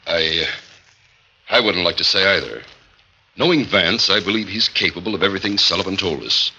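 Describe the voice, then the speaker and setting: A cautious doctor weighs his words in a deep yet very bright, tense voice. The sound is a dull 1940s radio drama recording. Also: expressive, normal speed, full character voice. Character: cautious, hesitant, learned male doctor. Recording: muffled, faintly noisy vintage radio recording.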